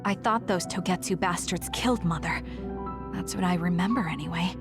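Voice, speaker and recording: A woman's voice: lowish voice, moderate pace, music in the background, lightly acted. A woman playing a brusque, determined young fighter in an anime-style video game, curt and firm. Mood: defiant.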